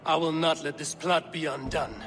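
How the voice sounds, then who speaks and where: An actor playing a steadfast, plain-spoken warrior in a video game, with a measured, resolute delivery. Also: male voice, fairly high pitch, fairly even intonation, natural voice. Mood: stern resolve.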